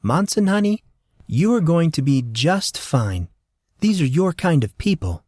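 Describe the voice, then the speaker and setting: A woman's voice: deep voice, sing-song, a little slow, a little mellow, husky, dry recording, clean studio sound, pitched above the performer's own voice, exaggerated voice. A man voicing a cheerful, doting adult woman who teases and reassures a teenager in an audiobook. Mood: reassuring. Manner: affectionate, teasing, upbeat.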